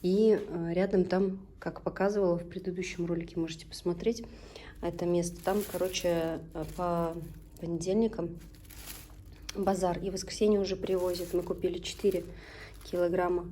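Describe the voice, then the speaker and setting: A woman from Tatarstan, Tatar by first language, talks casually in her home kitchen in a slightly low voice. She chats along homely and breaks off now and then to coach her kids. A faint hiss sits under the recording. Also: steady tone, moderate pace, mellow. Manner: chatty and homely, often breaks off to instruct her children. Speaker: vlogger and mother. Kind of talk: casual home vlog chat while cooking with kids.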